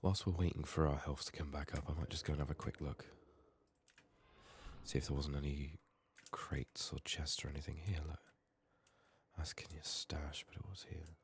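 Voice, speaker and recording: Man, extremely low voice, soft-spoken ASMR gaming commentary, partly whispered, unhurried musing, faint noise behind. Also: level tone. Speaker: gaming streamer, ASMR host.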